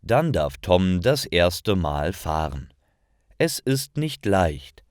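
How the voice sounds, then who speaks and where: Deep male narrator reading a learner story, super slow, lots of up and down in the pitch. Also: sing-song, dry recording, clean studio sound. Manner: careful, clearly separated phrasing for learners. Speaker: narrator of language-learning stories.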